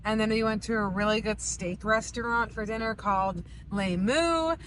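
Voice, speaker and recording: A woman's voice: medium pitch, moderate pace, a bit bright, slight background noise. A young woman from the US East Coast, narrating a casual travel vlog in a chatty, upbeat way with lots of "like". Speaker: vlogger. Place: inside a car on a road trip.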